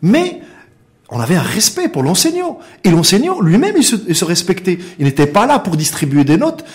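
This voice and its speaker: Animated, higher-voiced Moroccan man, middle-aged. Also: a little fast. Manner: emphatic, repeats words for stress, rhetorical questions. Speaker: interview guest, founder and director of an organisation. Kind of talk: impassioned interview discussion.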